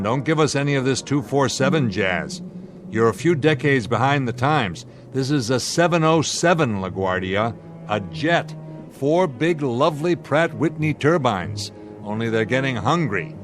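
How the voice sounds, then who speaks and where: An actor playing a commanding, wry and impatient airline captain in a radio drama, speaking with dry authority. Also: male voice, medium pitch, close to an everyday voice.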